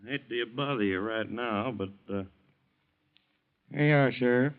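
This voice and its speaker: An actor playing a polite, matter-of-fact sheriff's deputy in a vintage radio drama. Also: male voice, mid-range voice, moderate pace, smooth, close to an everyday voice. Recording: dull lo-fi old radio recording.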